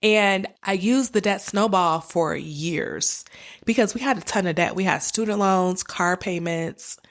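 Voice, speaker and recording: A woman hosting a podcast as a conversational, encouraging coach, her voice a little raspy from a cold. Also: medium pitch, measured pace. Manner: encouraging, direct address to listeners. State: has a cold with cough and sore throat. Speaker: podcast host. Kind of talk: personal finance podcast monologue, conversational coaching.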